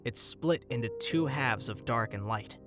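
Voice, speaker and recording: A man playing a young, adventurous alien historian in an animated film, earnest and hopeful in a reflective, conversational way. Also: mid-range voice, unhurried, close to an everyday voice. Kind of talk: animated film voice-over narration and dialogue. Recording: music under the voice.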